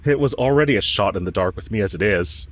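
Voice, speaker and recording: A man playing a lovestruck villain in an intimate audio roleplay, speaking with earnest, halting hesitation and yearning. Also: lowish voice, animated, a bit bright. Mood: wry, tentative. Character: lovestruck male villain confessing to his enemy, yearning.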